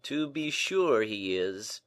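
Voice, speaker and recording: A man's voice: fairly low pitch, sing-song, takes it slowly, no echo, pitched above the performer's own voice. A man voicing a stout, gracious, self-satisfied manservant in an audiobook, with a deferential manner. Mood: gracious, rueful. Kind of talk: audiobook character acting.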